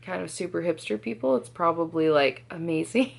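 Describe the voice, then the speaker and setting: A woman's voice: lowish voice, lively intonation, moderate pace, vocal fry. A young woman vlogging casually at home, chatty, with lots of "like" fillers and small laughs. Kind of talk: casual vlog chat. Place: home kitchen.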